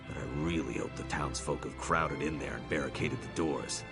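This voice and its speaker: A man playing a blunt, battle-weary fighter with a gravelly voice in a fantasy video game. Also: a bass voice, steady tone, moderate pace, loud music behind the voice. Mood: grim, determined. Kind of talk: scripted video game dialogue.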